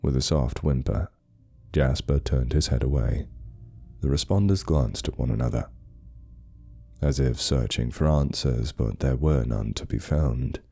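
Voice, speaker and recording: A man's voice: very deep voice, measured pace, gravelly, mellow, breathy edge. A man narrating a scripted horror story in a grave, suspenseful manner.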